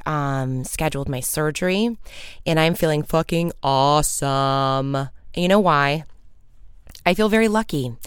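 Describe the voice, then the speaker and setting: Upbeat thirties woman, low and smooth. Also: no echo, clean studio sound. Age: 30s. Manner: chatty and upbeat, frequent ums, casual swearing. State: low morning voice. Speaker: podcast host. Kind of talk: casual solo podcast update.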